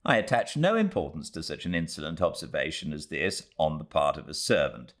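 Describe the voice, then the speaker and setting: Casting: British man as self-righteous widowed housekeeper narrator, classic novel, formal and prim, low register, expressive intonation. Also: a little fast, heavily acted. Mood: prim, earnest.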